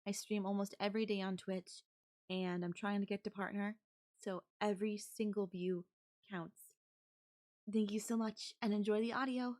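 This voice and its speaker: A woman's voice: medium pitch, fairly even intonation, moderate pace, a little mellow. A woman who streams and makes audio roleplays, addressing her listeners in a short channel introduction.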